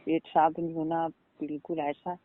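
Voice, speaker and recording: Young guy early 20s, high voice, tinny phone call, asking for advice, kinda halting. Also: flat delivery. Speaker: caller seeking health advice. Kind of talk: informal phone conversation. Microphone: phone line.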